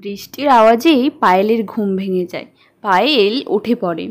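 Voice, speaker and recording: A woman's voice: medium pitch, moderate pace, a little hiss or hum. A woman giving scripted narration for a children's story.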